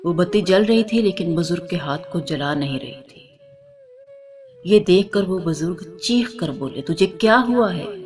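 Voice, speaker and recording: A woman narrating a scripted religious moral story in a formal, measured way, as a storyteller. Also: on the low side, darkish tone. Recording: music under the voice.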